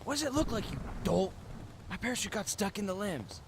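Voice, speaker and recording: Actor as bomber captain, male, brash, grumbling, lively swooping intonation, radio drama, noisy recording. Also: sits high, brisk. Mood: irritated, calling out.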